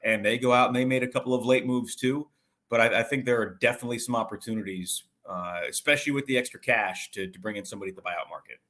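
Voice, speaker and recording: A man's voice: medium pitch, quick pace, fairly bright tone. A man working as a sports broadcaster, giving detailed conversational analysis as an interview guest in stop-and-start phrases.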